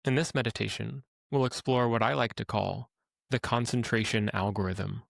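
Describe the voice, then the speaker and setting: A man leading a guided meditation as a teacher, speaking in a calm, gentle, instructional way with pauses. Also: medium pitch, vocal fry, no room sound, studio quality.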